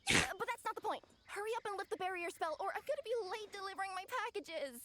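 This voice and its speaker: A woman playing a young, earnest cat yokai delivery girl in an anime video game, expressive and pleading. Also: extremely high pitch, fast talker, very noisy. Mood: pouty, insistent. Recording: music behind the voice.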